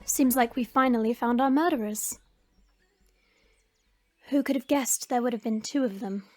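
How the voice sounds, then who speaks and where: A woman's voice: a little high, normal speed, faint music behind, close to an everyday voice. A woman playing a haughty, sarcastic nonhuman lady who looks down on humans, in an audio drama. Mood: sardonic. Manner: arch, disdainful, formal sarcasm.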